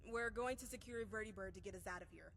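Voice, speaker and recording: A woman playing a bossy, impatient military squad leader in a video game mod, giving brisk, commanding lines. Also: mid-range voice, a bit bright. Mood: resolute, impatient.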